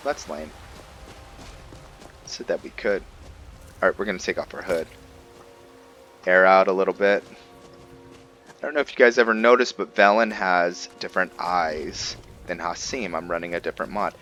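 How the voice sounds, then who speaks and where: A man's voice: sits low, moderate pace, long pauses, a bit bright, faint music behind. A man hosting a gameplay video, talking informally and thinking aloud to his viewers in casual roleplay commentary. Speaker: gaming video host.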